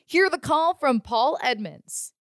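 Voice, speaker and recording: A woman's voice: high voice, expressive, takes it slowly, background noise. A woman hosting a sports podcast, reading scripted news and recaps. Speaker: podcast host.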